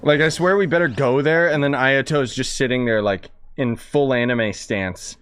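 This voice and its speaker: A man's voice: mid-range voice, moderate pace, a bit bright, clean tone. A man streaming a game with casual, sarcastic and incredulous reaction commentary.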